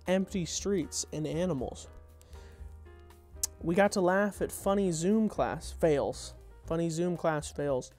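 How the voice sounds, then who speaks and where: A man's voice: fairly high pitch, slow, darkish tone. Wry young man reading over music. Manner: wry, off-the-cuff asides between scripted lines. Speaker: content creator reading own script. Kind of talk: informal table read of a comedy script.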